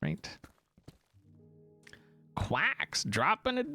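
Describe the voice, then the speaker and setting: A man hosting a game live stream, chatting casually and thanking viewers, with a gravelly morning voice. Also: on the high side, huge pitch swings, pushed and bright, faint music behind. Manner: playful, jokey, thanks donors rapidly. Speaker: livestream host.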